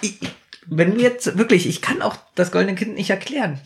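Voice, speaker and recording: A man's voice: high voice, brisk, creaky. A man with a slight cold, co-hosting a casual podcast about audio dramas in a self-deprecating way. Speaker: podcast co-host.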